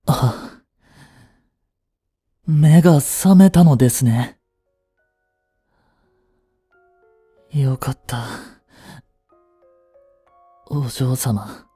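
Devoted obsessive young butler, formal and polite, emotionally intense, mellow, slow-paced, Japanese romantic voice drama. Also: male voice, mid-range voice, husky, dry recording, faint music behind. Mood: relieved, quiet.

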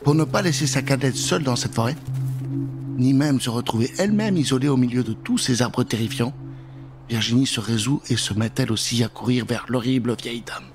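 A man in his thirties telling a children's tale in an expressive, theatrical style, close to the microphone. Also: medium pitch, a little fast, music in the background. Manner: expressive, dramatic. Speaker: storyteller. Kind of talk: theatrical scripted storytelling for children. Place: indoor recording setup. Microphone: close microphone with pop filter.

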